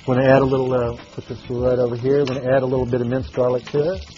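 A man's voice: mid-range voice, flat delivery, quick pace, mellow, dull audio. Folksy man chef cooking on TV. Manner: folksy, talks while cooking with frequent fillers. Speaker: restaurant chef. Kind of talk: live TV cooking demo. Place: TV studio kitchen set. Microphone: lapel mic.

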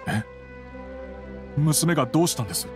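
A man's voice: a little high, animated, moderate pace, over music, close to an everyday voice. A man voicing an anxious, devoted father in a game, hesitant and emotional in his delivery. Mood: bewildered. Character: anxious, devoted adult father, bewildered and troubled. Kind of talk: scripted Japanese game voice acting.

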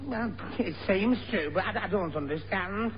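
A man's voice: high-pitched, normal speed, close to an everyday voice. A man playing a dim, nervous young pal in a 1960s radio sitcom, stammering and getting muddled. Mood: anxious, muddled. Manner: stammering, flustered. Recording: muffled noisy old radio, studio audience.

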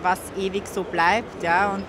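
A woman's voice: mid-range voice, level tone, a little slow, crowd noise. A woman in her thirties giving candid, conversational interview answers, searching for words, into a handheld recorder. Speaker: interviewed fitness coach. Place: large indoor fitness expo hall. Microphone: handheld recorder held out to speaker. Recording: noisy busy trade fair hall.

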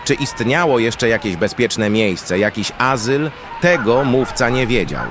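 A man's voice: medium pitch, animated, measured pace. A man narrating a scripted fantasy audio drama in a dramatic storytelling style, with outdoor ambience behind him. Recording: clear background ambience noise.

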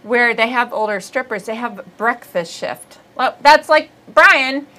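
A woman's voice: fairly high pitch, animated, unhurried, bright, forceful tone. A woman in her forties from Ohio with a Midwestern accent, hosting a casual comedic chat, telling bawdy stories with lots of laughter. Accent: Midwestern American. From Ohio, USA. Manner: chatty, laughing, bawdy storyteller. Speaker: comedian host. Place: small studio set, seated indoors.

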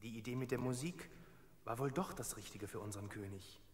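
An actor playing a cautious, worried royal servant in a Bible audio drama, earnest and confiding. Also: male voice, mid-range voice, animated, fairly quick, natural voice. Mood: relieved, wary.

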